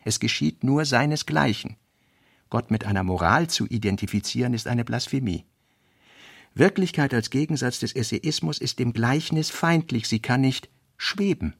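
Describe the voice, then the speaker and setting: Gravelly man reading literary notes. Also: mid-range voice, expressive, moderate pace, no echo, clean studio sound. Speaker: reader in a literary radio play.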